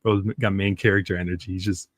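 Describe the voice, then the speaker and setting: A man's voice: deep voice, little pitch movement, fast, no room sound, clean studio sound. Stuffy-nosed man chatting on a stream. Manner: rambling, chatty, reads comics aloud. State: sick with a stuffy nose. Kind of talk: casual live stream reaction.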